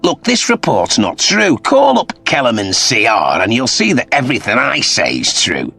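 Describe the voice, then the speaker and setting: A man's voice: mid-range voice, huge pitch swings, moderate pace, gravelly, bright, forceful tone, husky, faint music behind, voice pushed up, exaggerated voice. A male narrator voicing a serious, guarded and menacing male space crewman in a sci-fi audiobook, speaking tersely. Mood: defensive, pleading.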